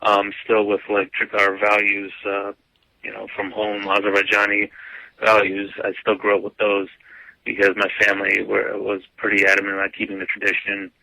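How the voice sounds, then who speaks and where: A man, answering interview questions in a relaxed way, full of "um" and "like" fillers. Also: lowish voice, moderate pace, vocal fry, dry recording, rough recording. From United States (grew up). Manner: easygoing, lots of "um" and "like" fillers. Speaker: guest.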